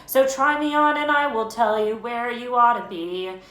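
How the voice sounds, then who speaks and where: An old, patched singing hat, as a woman puts it on, belting rhyming verses high and loud. The tone is bright and sharp. The room is roomy, with a faint hiss behind. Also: normal speed, faint music behind, natural voice. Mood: sing-song. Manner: sings in rhyme, loud and lively.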